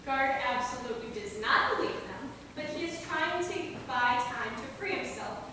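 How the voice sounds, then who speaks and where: A woman's voice: sits high, expressive, moderate pace. A woman narrator reading out stage directions in a scripted staged reading. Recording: echoey hall, distant mic, clearly noisy.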